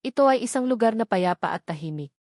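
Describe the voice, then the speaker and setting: A woman's voice: a little high, expressive, fast talker, a little mellow, no echo, clean studio sound. A woman with a Filipino accent reading scripted dramatic narration as an audiobook storyteller.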